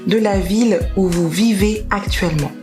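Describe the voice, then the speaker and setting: A woman's voice: medium pitch, moderate pace, a little mellow. A woman hosting a podcast, reading prepared interview questions in a formal, welcoming way. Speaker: podcast host. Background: background music.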